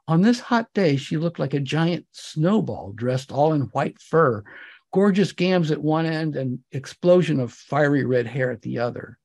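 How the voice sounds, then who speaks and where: A man giving an expressive, wry and theatrical narration of comic fiction, as an author reading his own work. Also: a little high, measured pace.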